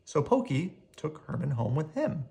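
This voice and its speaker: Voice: mid-range voice, big pitch swings, moderate pace, creaky, dark tone. A storyteller reading a children's picture book aloud expressively, drawing out key words.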